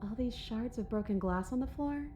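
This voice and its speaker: A woman's voice: a little high, normal speed, warm tone, natural voice. A woman voicing a playful, sly and menacing ghostly princess in a visual novel game, teasing and taunting. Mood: sardonic, taunting. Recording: game audio with music behind. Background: game music.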